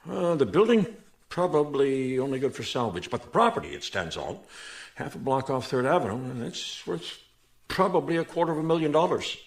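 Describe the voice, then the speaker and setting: An actor playing a worried, candid manager of a struggling theater in a radio mystery, talking in a chatty, slightly hesitant way. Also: male voice, mid-range voice, very animated, a little fast. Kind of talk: radio mystery drama acting.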